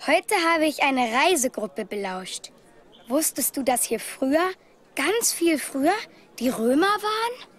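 A woman's voice: sits high, big pitch swings, a little slow, a bit bright, exaggerated voice. A woman voicing a small, cheerful male toy rabbit who chats in a friendly tone as he reads out his letter from a trip. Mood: chatty. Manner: chatty, friendly letter-writing tone. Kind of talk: storybook letter reading.

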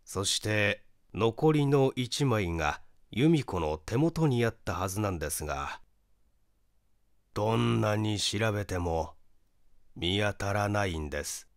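Man acting a regretful brother, low grave voice, measured halting delivery, storybook mystery, dry recording. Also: animated, dry recording. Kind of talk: dramatic story reading.

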